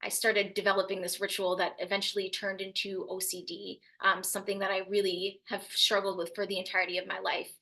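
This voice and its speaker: A young woman speaking as a youth mental health speaker, telling her personal story to an audience in an earnest, steady way. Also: mid-range voice, fairly quick, hardly any pauses. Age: young adult. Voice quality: loud voice.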